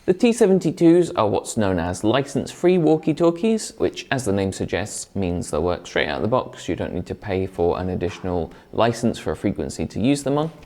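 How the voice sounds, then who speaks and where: A man's voice: lowish voice, sing-song, a little fast, few pauses, darkish tone. A man presenting a product review in a conversational, explanatory way, partly scripted.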